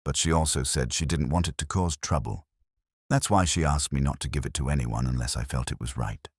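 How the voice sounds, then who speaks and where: Man voicing an uneasy woman witness, low-pitched. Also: brisk, breathy edge, dry recording, studio quality. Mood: quietly resolved. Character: uneasy, earnest woman witness confiding in police.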